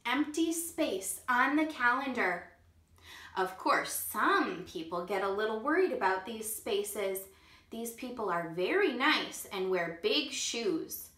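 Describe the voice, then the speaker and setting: A woman, a kindergarten teacher, gently reading a picture book aloud to children and pausing to ask them questions. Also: mid-range voice. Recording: echoey room.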